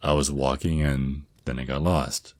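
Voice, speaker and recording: Guy doing a super deep slow vampire snake-man boyfriend, soothing asmr roleplay, tender and reassuring. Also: steady tone, darkish tone, no echo. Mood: reflective. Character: male vampire naga boyfriend, centuries old, tender, reassuring mentor.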